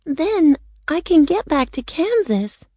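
A woman's voice: high-pitched, sing-song, slow, dark tone, no echo, voice pushed up, exaggerated voice. A woman voicing an earnest, determined young girl in a storybook.

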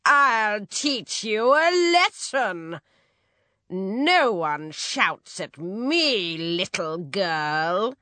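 A woman's voice: medium pitch, big pitch swings, very slow, sharp tone, no room sound, voice pushed up, heavily acted. A woman voicing a spiteful, snarling old witch in a children's storybook. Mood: menacing, angry. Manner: snarling, chanting spells.

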